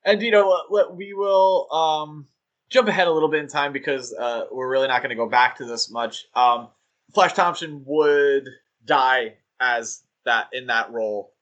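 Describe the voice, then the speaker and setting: A man's voice: mid-range voice, moderate pace, a bit bright, muffled recording. A man co-hosting a podcast, talking casually and joking with many fillers. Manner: chatty, joking, with frequent fillers. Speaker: podcast co-host.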